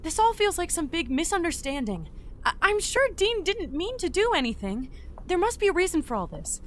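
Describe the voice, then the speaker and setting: A woman's voice: very high-pitched, a little hiss or hum. A woman playing an earnest, anxious young entity in an audio drama, talkative and flustered. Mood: pleading, defensive. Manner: flustered, expressive, halting.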